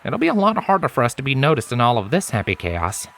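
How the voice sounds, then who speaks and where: Man acting an easygoing stallion, lifted pitch. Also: huge pitch swings, quick pace, creaky, a bit bright, slight background noise, full character voice. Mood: cheerful.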